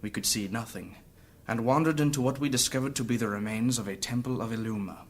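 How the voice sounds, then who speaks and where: A man's voice: mid-range voice, quick pace, a little hiss or hum, close to an everyday voice. A man playing a wounded, earnest adventurer who tells his story courteously and formally in a fantasy audio drama. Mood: reflective.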